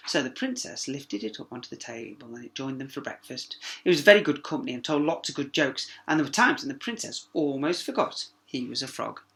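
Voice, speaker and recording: Bright, animated man reading a children's story. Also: mid-range voice, brisk, vocal fry, hardly any pauses. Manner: animated, with asides to young listeners. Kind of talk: expressive children's story narration.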